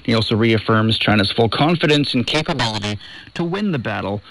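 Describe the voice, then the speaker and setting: A man's voice: lowish voice, animated, a little fast, fairly bright tone, poor audio. A man presenting scripted radio news, heard through a shortwave broadcast. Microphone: studio broadcast heard over shortwave.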